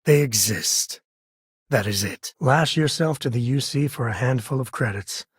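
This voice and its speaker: A roguish spacefaring ranger in a video game, mid-pitched and heavily husky. He talks in a folksy, wry way, like a protective father who has seen the frontier. The sound is dry and close, with no room on it. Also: normal speed, dry recording. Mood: stern, grave. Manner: folksy, wry, casual drawl of words. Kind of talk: video game voice acting, short dialogue lines.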